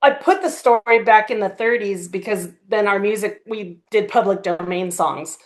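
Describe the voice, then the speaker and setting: A woman with a medium-pitched voice talks as a guest on a podcast. She speaks informally, in the anecdotal way of someone describing her own production. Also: normal speed. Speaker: podcast guest, drama series creator. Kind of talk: casual animated anecdotes.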